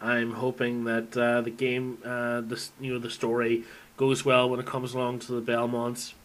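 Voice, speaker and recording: A man's voice: mid-range voice, completely flat delivery, normal speed, a bit bright, rough recording. A man giving a casual, unscripted monologue as a fan, rambling and thinking aloud as he goes. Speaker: video game fan recording an audio log.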